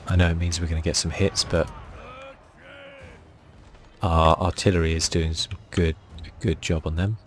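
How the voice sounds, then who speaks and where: A man giving soft-spoken ASMR commentary as he plays a game, thinking aloud in a relaxed way. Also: deep voice, moderate pace, pauses often, a little hiss or hum. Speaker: gaming video host.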